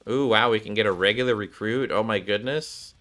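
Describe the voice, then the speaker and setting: Male game streamer, deep register, casual rambling mutter, game music underneath. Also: brisk, a bit bright. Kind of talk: casual gameplay commentary.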